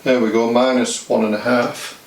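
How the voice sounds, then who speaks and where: A man's voice: medium pitch, little pitch movement, normal speed, gravelly, slight background noise. A man giving a casual how-to demonstration, explaining each step in a matter-of-fact way. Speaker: DIY demonstrator.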